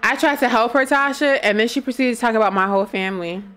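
A woman's voice: on the high side, quick pace, a bit bright. A young woman hosting a gaming stream, chatting and joking with friends over a studio mic at home. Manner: joking, laughs often. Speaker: streamer host. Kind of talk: casual chat while gaming. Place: small room at home, purple lights. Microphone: studio mic on arm.

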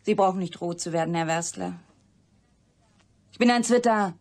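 An actress playing a candid, bitter young female scientist in a radio crime drama, speaking deliberately and confidingly in a husky, breathy voice. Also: on the low side, darkish tone, lightly acted. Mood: provocative, teasing.

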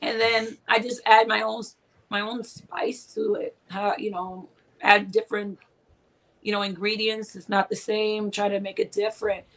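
A woman's voice: mid-range voice, little pitch movement, normal speed, fairly bright tone. A woman in her forties from Puerto Rico, a guest chatting casually on a live video call and telling stories with lots of laughs. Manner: chatty, laughs often, tells stories with quoted asides. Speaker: guest cooking and Disney channel creator. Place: small home room indoors. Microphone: video call from a webcam.

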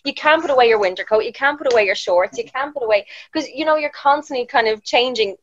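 A woman's voice: on the high side, fast, a bit bright, slight background noise. A young woman from Ireland with an Irish accent, chatting as a guest on a video call and laughing often. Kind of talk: casual video-call chat. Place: indoors in front of a green wall. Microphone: earphone mic on a video call. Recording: dull lo-fi video call audio.

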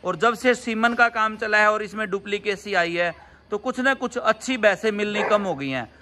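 Bright, high-voiced male interviewer. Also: moderate pace. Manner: agreeable, frequent affirming interjections. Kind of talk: casual interview.

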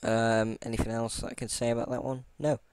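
A man narrates a software tutorial over his screen recording, rambling and circling back with frequent ums and half-started sentences. His voice is smooth and clear, sitting at a middling pitch. The recording is dry and close, with no room sound around it. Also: flat delivery, fairly quick, no echo. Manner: rambling, lots of fillers and restarts; self-deprecating. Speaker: tutorial channel host.

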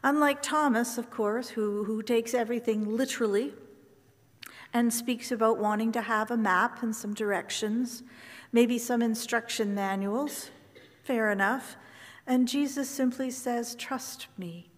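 A woman minister giving a gentle, reflective funeral sermon from the pulpit in a large church. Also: a little high, fairly even intonation, a little slow. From Canada. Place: large wooden church sanctuary with choir. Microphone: pulpit microphone from far camera. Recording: long church reverb.

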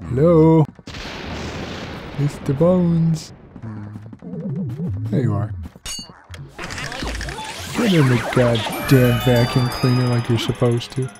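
A man's voice: mid-range voice, takes it slowly, pauses often, music in the background. A young man with a relaxing voice commenting casually on a game, swearing freely and poking fun at himself. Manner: relaxed, profane, self-deprecating rambling. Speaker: let's play host.

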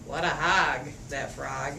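A woman teacher gives an expressive, rhythmic storybook read-aloud for young children at an unhurried pace. She draws out phrases and engages the children directly. The sound is echoey and picked up at a distance from the mic. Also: sits low, gravelly. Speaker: teacher reading a picture book to young children. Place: echoey room with a group of children. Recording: echoey room, distant mic, faint noise.

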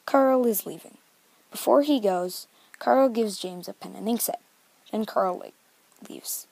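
A woman's voice: mid-range voice, a little slow, vocal fry, darkish tone, no echo, rough recording. A woman reading scripted narration for a homemade book report. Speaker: narrator of a novel study video.

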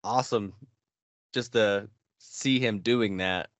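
A man's voice: on the low side, slow pace, dry recording. An American man in his thirties, a podcast co-host chatting casually about games. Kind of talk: casual gaming chat. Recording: slightly dull, band-limited, dry sound.

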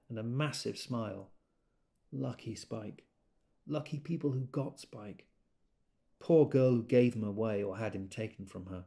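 An actor playing a wistful, tender man quietly remembering his boyhood in an audio drama monologue. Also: medium pitch, creaky. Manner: quiet, reflective.

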